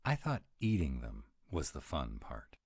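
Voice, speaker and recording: A man's voice: very deep voice, huge pitch swings, a little mellow, husky, heavily acted. A man playing a grumpy, fussy, easily flustered were-dragon who loves food, in a dramatic, exasperated roleplay audio. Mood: sulky, puzzled. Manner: exasperated, dramatic, slow and emphatic. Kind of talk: one-sided romantic comedy roleplay audio.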